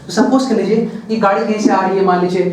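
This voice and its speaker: A man's voice: high voice, fairly even intonation, fast, a little mellow. A male physics teacher giving a classroom lecture, who explains step by step, repeats words and answers his own questions. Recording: echoey room, voice from far off.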